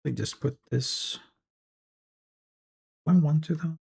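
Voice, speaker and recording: A man's voice: on the high side, takes it slowly, vocal fry, a little mellow, slightly rough. A man with a South African English accent and Afrikaans as his first language, chatting casually and laughing easily with viewers as a streamer. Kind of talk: casual chat with viewers while 3D modeling.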